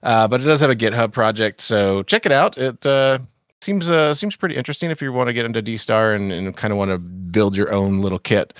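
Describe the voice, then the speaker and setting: Low-voiced man with a head cold. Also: fairly quick, no room sound, studio quality. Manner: rambling, many fillers and restarts, laughs often. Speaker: podcast co-host. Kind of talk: casual chat about amateur radio news.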